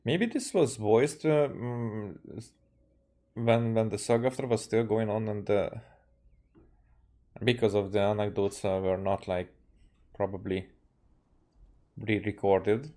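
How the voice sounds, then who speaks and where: A male game streamer reads story dialogue aloud. His voice is soft-edged and fairly low, and he moves haltingly through the text, often correcting himself. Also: steady tone. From Hungary. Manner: halting reading with frequent self-corrections. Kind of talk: reading game dialogue aloud with live commentary.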